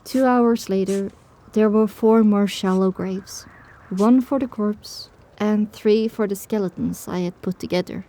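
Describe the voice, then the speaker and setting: A woman's voice: medium pitch, unhurried, mellow, poor audio. A woman reading scripted first-person story narration for an audio drama.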